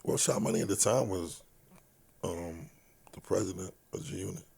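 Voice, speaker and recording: A middle-aged man from Philadelphia speaks as an interview guest in a big, low voice. His tone is gravelly and husky, and he talks bluntly and profanely, in a deliberate way. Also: steady tone, darkish tone. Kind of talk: casual interview talk.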